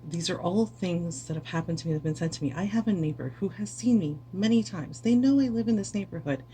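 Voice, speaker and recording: A woman's voice: fairly low pitch, fast, mellow, a little hiss or hum. A weary woman streamer giving an earnest, impassioned speech to camera, repeating words for stress. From United States.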